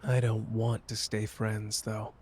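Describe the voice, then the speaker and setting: A man playing a cocky but wounded young sorcerer in an intimate roleplay audio drama, with halting, emotional delivery. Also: fairly low pitch, completely flat delivery, a little mellow, a little hiss or hum. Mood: tender, earnest.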